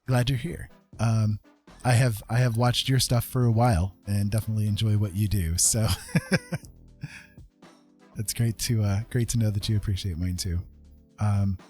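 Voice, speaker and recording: A man's voice: on the low side, measured pace, long pauses, a little mellow. A man hosting a casual livestream as a digital artist, talking in a rambling, self-deprecating way with frequent chuckles. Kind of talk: casual livestream chat while painting. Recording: music playing behind the voice. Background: music.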